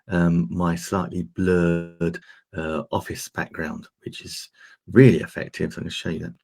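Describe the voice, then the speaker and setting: A man with a very low, gravelly voice walks viewers through a software demo in a loose, chatty way, full of fillers and restarts. His tone is a bit husky and a little croaky, and he talks into a desk microphone. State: slightly croaky voice. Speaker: host demonstrating streaming gear. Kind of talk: casual tech demo talk.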